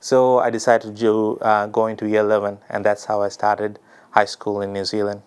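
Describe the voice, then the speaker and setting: Young man, from Sri Lanka, smooth clear voice, casual vlog talk, lots of ums and uhs. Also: fairly low pitch, completely flat delivery, moderate pace. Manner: chatty and personal, with many ums and uhs. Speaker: immigration advice vlogger.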